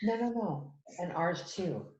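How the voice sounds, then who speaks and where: A woman's voice: on the low side, expressive, a little slow, a little mellow, highs cut off. A woman chatting casually from a distance in an echoey room with faint noise. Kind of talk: casual side chat.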